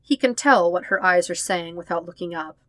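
A woman reading a story as a scripted narration, expressive and leaning on the emotional words. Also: lowish voice, normal speed, no room sound. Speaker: fan fiction podfic reader.

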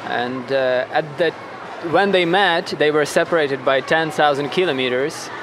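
A man's voice: a little high, moderate pace, background noise. A man from western Ukraine with an Eastern European accent, talking casually and reflectively as a podcast guest. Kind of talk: casual interview.

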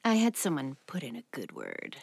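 A woman's voice: rumbling low, wildly swooping pitch, moderate pace, gravelly, a little mellow, husky, dry recording, full character voice. An actress playing a smug, taunting female police officer rival. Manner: taunting, sneering. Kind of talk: audio drama acting.

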